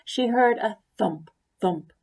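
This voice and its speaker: A woman reading a children's story aloud expressively, deliberately, with pauses for emphasis. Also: a little high, animated, a little mellow, slightly rough. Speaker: story reader. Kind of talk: expressive picture-book read-aloud.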